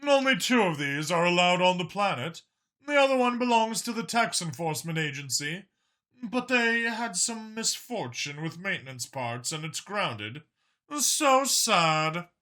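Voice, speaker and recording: Man voicing a smug, forceful military commander. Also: sits high, big pitch swings, unhurried, voice pushed up, exaggerated voice. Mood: proud, smug. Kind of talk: audiobook character dialogue.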